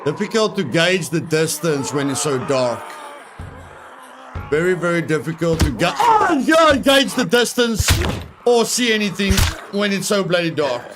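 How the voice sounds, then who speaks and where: A man's voice: high-pitched, big pitch swings, measured pace, loud music behind the voice. A man streaming a survival game, giving live commentary, repeating his phrases and yelping with mild exclamations when startled.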